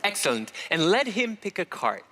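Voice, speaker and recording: A man's voice: high voice, big pitch swings, sharp tone, slight background noise, exaggerated voice. A man performing as a stage magician, talking theatrical patter in a put-on Eastern European accent on a TV studio stage. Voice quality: theatrical, playful, quick. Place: large TV studio stage with audience.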